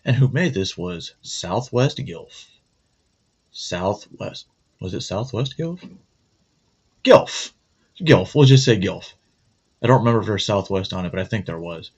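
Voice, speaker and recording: A man's voice: lowish voice, lively intonation, measured pace, pauses often, husky. A man hosting his own show, chatting casually and rambling, cracking jokes and correcting himself. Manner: rambling, joking, self-correcting. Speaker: host. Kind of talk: casual morning show chat. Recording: dull lo-fi sound.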